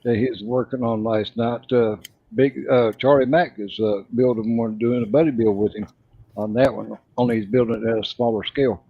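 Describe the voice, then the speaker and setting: Mid-pitched male co-host, hesitant and easygoing, dry lo-fi audio. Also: steady tone, normal speed, breathy edge, no echo. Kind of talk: casual chat.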